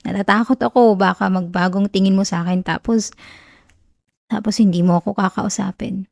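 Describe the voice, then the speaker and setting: A woman playing a shy, whiny tsundere best friend in an ASMR romance roleplay, with a Filipino accent and a stammering, halting delivery. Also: mid-range voice, darkish tone, dry recording, clean studio sound, close to an everyday voice.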